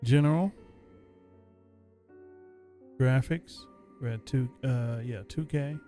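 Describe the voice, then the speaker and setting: A man's voice: mid-range voice, slow pace, mellow, faint music behind. A man casually commenting on a horror game as he plays, musing aloud in a laid-back way. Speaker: gameplay streamer.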